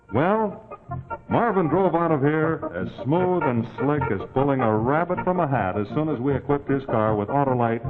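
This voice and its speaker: A man delivering a scripted 1940s sponsor commercial as announcer, with a folksy, emphatic sales delivery. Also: mid-range voice. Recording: muffled, narrow-band 1940s TV broadcast.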